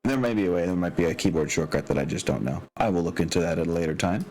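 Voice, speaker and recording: A man's voice: deep voice, expressive, racing, darkish tone. A man acting as game master, explaining tabletop mechanics casually and thinking aloud with some hesitation. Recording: music playing behind. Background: background music.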